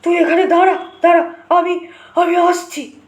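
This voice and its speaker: A woman's voice: very high voice, level tone, a little slow, pitched above the performer's own voice. A woman voicing a frightened, protective old village father in an audio storybook, urgent and repeating words. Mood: hurried. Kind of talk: audio storybook character acting. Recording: roomy, echoing voice recording.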